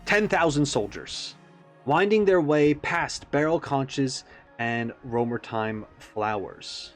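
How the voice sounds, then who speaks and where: Man musing aloud over background music. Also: medium pitch. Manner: rambling, thinking aloud with self-aware asides. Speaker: reaction video creator. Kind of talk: casual, reflective commentary on a game character video.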